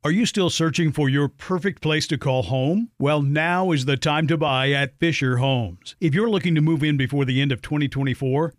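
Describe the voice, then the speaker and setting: A man's voice: fairly high pitch, dry recording, clean studio sound. A man reading a scripted sales advert as a voice-over announcer.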